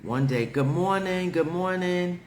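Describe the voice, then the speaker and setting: A man hosting a live stream, telling everyday stories in a casual, animated way with frequent laughter. He has a deep, heavy voice. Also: a little slow, reverberant, slight background noise. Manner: animated, laughs often, talks straight to viewers. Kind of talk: casual storytelling chat.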